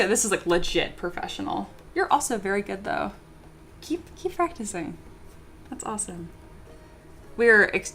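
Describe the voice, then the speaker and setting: A young woman streaming live and chatting with viewers in a bubbly, excitable way, laughing often and reading chat messages aloud. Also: mid-range voice, a little hiss or hum. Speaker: streamer, 3D sculptor. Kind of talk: casual livestream chat with viewers.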